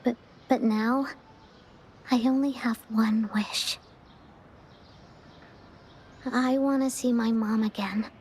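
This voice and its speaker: A woman's voice: a little high, fairly even intonation, slow pace, long pauses, darkish tone, slight background noise. A woman voicing a hopeful but sad little girl in a video game, speaking in a hesitant, wistful way. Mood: sad, longing.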